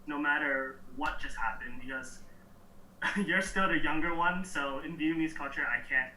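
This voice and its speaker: A young man from New York talks in a relaxed, halting way and often breaks into soft laughs. His voice is very bright and sits a little high. He sounds a bit far from the mic in an echoey room, with faint ambience behind him. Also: a little hiss or hum. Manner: relaxed, halting, laughs softly between thoughts. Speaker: interview guest. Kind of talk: casual interview answers.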